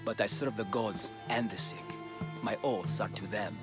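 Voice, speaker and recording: Male actor as a devout priest-healer, low voice, solemn, measured, game dialogue over prominent music. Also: lightly acted. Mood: solemn, earnest. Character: grave, devout ancient Greek priest and healer. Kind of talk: video game voice acting.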